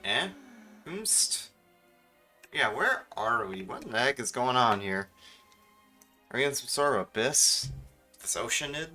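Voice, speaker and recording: A male game streamer with a mid-pitched, bright and forceful voice. He comments casually, cracking jokes and feigning outrage, with game music behind him. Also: big pitch swings, unhurried, pauses often. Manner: wisecracking, mock-indignant. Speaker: streamer playing a video game.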